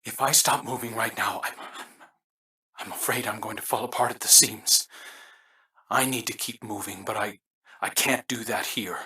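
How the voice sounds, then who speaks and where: A tense, halting young man. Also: on the low side, bright, forceful tone, distant mic. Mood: anguished, strained. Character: anguished, earnest young man, hesitant and guilt-ridden. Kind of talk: dramatic video game voice acting.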